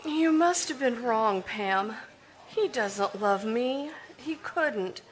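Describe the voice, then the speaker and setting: An actress playing a fragile, despairing young sister in a live old-time radio drama, faltering and tearful. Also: female voice, on the high side, expressive, takes it slowly. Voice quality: tearful, faltering, self-pitying.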